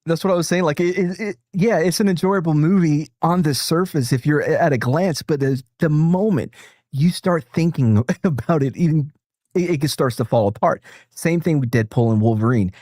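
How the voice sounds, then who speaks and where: A man's voice: fairly high pitch, normal speed, a little mellow, dry recording, studio quality. A man hosting a casual group chat, joking and laughing often as he talks. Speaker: host.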